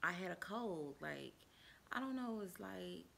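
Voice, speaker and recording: A woman tells a story to her viewers, chatty and rambling, with lots of fillers. Her voice is low and gravelly with a bright, forceful edge, and she jumps up high when she acts out what people said. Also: lively intonation, measured pace. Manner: acts out quoted dialogue in a raised voice. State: stuffy and under the weather. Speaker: vlogger telling a personal story. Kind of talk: casual storytime chat.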